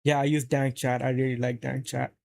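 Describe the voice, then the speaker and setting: A man's voice: medium pitch, little pitch movement, a little fast, creaky, darkish tone. A man from Saudi Arabia casually chatting on a live stream, rambling and answering chat as he plays.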